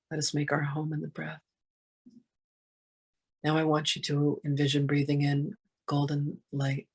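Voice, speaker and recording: Soft, breathy voice guiding a meditation. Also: medium pitch. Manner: gentle, soothing, unhurried, with long pauses. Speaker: meditation guide. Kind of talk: guided meditation.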